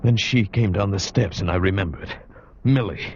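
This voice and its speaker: Man acting a low-voiced, hard-pressed adventure hero. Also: natural voice. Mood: dazed, suspenseful. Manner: dramatic, halting, suspenseful. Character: hard-pressed male adventurer narrating his own ordeal. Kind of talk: 1940s-50s radio drama narration. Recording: dull old radio broadcast recording.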